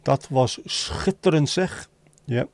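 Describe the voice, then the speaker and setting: A man's voice: medium pitch, slow pace, no room sound. A man hosting a casual livestream, reading out chat messages and teasing viewers with jokey, lightly sweary banter. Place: home livestream setup.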